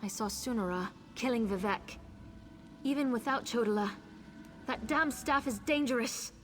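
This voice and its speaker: A woman's voice: on the high side, normal speed, soft background music, natural voice. Earnest elven seer woman played by an actress. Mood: earnest, explaining. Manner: earnest, serious. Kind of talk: video game dramatic acting.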